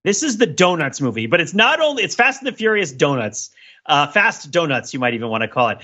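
A man's voice: a little high, big pitch swings, fairly quick, bright, forceful tone, breathy edge, highs cut off. A man co-hosting a podcast, casually discussing films with jokey mock-serious analysis. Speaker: podcast co-host.

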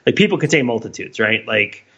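Bright-voiced analytical man on a lo-fi podcast. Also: medium pitch, animated, a little fast, husky. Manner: discursive, analytical, wryly humorous. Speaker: podcast co-host. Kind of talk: casual conversational podcast discussion.

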